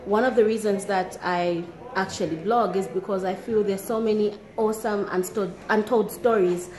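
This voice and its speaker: A woman's voice: medium pitch, fairly even intonation, moderate pace, a little mellow. Zambian woman telling a neighborhood story. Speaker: blogger and activist. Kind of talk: personal storytelling to camera. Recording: echoey room.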